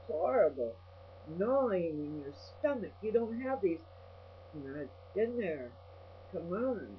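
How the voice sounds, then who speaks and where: An older woman video blogger giving a rambling personal monologue in a blunt, salty-tongued, ranting manner. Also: deep voice. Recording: dull lo-fi audio with mains hum.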